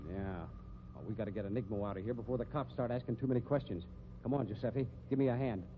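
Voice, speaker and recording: A man's voice: mid-range voice, fast, natural voice. An actor playing a shady, hot-tempered carnival showman with an American accent and slangy, blustering talk in a 1950s radio drama. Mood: uneasy. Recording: dull, noisy 1950s radio recording.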